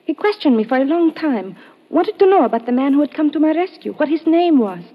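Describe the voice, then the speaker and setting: A woman's voice: high voice, expressive, fairly quick. An actress playing a frightened, earnest foreign woman in a 1950s radio drama, speaking precisely and formally, on a muffled vintage recording. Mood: earnest, uneasy.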